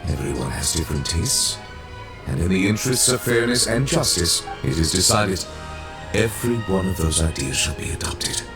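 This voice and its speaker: A man's voice: low voice, animated, moderate pace, over music. A man voicing a dignified, courteous official in a video game, speaking in a stately, formal manner. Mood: solemn.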